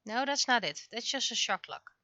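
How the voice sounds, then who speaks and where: A woman gives casual, think-aloud commentary as she works on digital art. Her voice is bright and forward at a middling pitch. It sounds close and dry, through a slightly lo-fi microphone. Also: animated, a little fast, no echo. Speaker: livestreaming webcomic artist. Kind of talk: casual livestream commentary while drawing.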